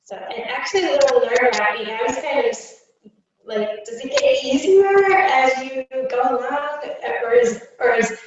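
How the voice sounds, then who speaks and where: A woman's voice: high-pitched, expressive, normal speed. Chatty woman from far off in a very echoey room. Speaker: guest. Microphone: video call. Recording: distant, very echoey room with faint noise.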